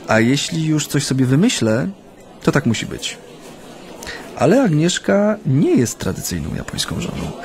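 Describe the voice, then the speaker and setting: A man's voice: medium pitch, darkish tone, lightly acted. An actor playing a genial Japanese man reminiscing hesitantly and reflectively about his life, in a conversational radio drama. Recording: studio radio play with music.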